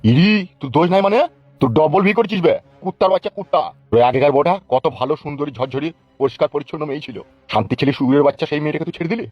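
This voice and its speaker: Man playing a fast, blustering village politician. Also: on the high side, dry recording, lightly acted. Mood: scolding, threatening. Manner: scolding, cursing, blustering. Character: angry foul-mouthed male village politician, bullying and threatening. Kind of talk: comic cartoon dubbing. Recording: dull lo-fi, music behind.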